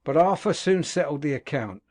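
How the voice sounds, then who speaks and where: A man voicing a rough old male convict who tells his past in earthy dialect speech. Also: on the high side, animated, normal speed, a little mellow. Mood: grim recounting. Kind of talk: audiobook character reading.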